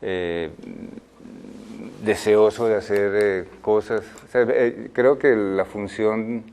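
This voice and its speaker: An older man, a film director answering interview questions in a thoughtful, halting way. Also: on the low side, darkish tone. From Colombia. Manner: thoughtful, halting, many fillers and restarts.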